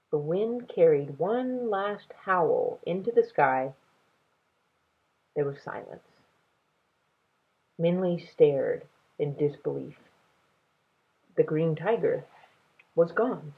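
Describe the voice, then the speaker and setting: A woman narrates a children's story with dramatic pauses and emphasis. Her pace is unhurried and her melody very lively, heard through a narrow, tinny phone-like recording. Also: deep voice, husky. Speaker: story reader. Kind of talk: expressive children's book read-aloud narration.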